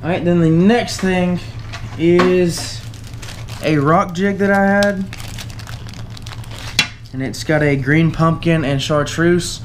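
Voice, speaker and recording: A young man with a Southern US drawl talks through his tackle in a relaxed, halting way, full of uh and um. His voice sits a little high for a man. A faint hiss runs under the camera-mic recording made indoors. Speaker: fishing channel host. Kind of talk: casual tackle giveaway walkthrough. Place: indoors at a table, camera overhead.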